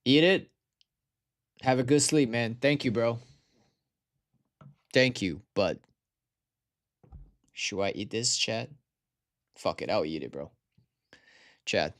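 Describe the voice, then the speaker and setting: A man's voice: mid-range voice, slow pace, long pauses. A tired young man from Taiwan, chatting to viewers on a livestream in slangy, swearing speech. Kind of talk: casual livestream chat.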